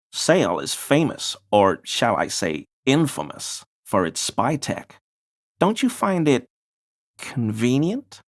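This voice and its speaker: An actor playing an obsequious, conspiracy-minded amateur researcher in a video game, deferential and wheedling while sounding pseudo-scholarly. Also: male voice, mid-range voice. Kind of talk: video game character dialogue.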